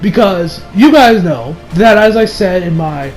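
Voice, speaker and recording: A man giving a casual, rambling review in an informal, excitable, sweary way. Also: high voice, unhurried, soft background music. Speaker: YouTube movie reviewer.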